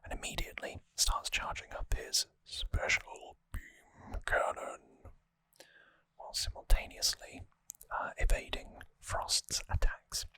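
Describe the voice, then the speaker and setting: An ASMR host whispering a trivia recap gently and hesitantly, close to the microphone. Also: slow pace, no echo. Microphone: close microphone, dry sound.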